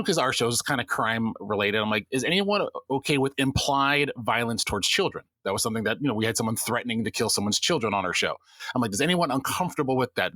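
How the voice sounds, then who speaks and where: A man's voice: medium pitch, pushed and bright, dry recording. A man who runs a podcast game, talking casually on a panel and acting out the questions he asks his players.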